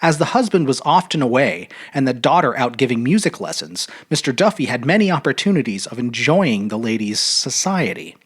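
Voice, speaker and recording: A man narrating a literary short story aloud in an expressive, measured, dramatic audiobook style. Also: fairly high pitch, slightly rough. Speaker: storyteller reading fiction aloud.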